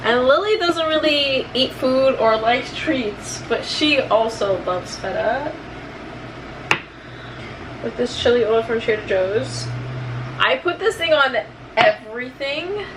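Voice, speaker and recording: A woman's voice: high voice, animated, slow, background noise. A woman chatting casually in a vlog, rambling along in a self-deprecating way. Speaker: vlogger.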